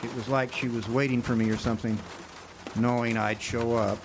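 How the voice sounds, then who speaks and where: World-weary male drifter, acted by a man, hardboiled radio drama narrator, wry and hesitant, mid-pitched, noisy open-air recording with birds. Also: level tone, normal speed, natural voice. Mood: wry, reflective. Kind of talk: noir-style radio drama narration and dialogue. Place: outdoor amphitheater stage.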